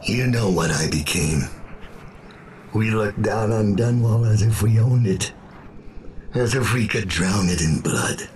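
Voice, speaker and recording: A man's voice: on the low side, darkish tone, a little hiss or hum, natural voice. An actor playing a weary, haunted old assassin in a video game, his voice half-whispered and brooding. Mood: bitter, pleading. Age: older. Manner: slow, brooding delivery. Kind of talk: video game dramatic acting. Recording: music under the voice. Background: music.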